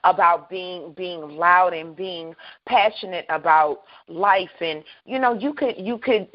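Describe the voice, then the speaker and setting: A woman hosting a faith talk radio show, loud and booming, bold and animated, laughing often. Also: measured pace. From Southern United States. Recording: dull lo-fi sound.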